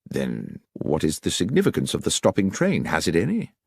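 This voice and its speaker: Audiobook gentleman sleuth, voiced by a man: precise, probing, commanding, very animated intonation. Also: deep voice, vocal fry, husky, no echo, clean studio sound, heavily acted. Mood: pondering. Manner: brisk, precise, questioning. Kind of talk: audiobook character voice.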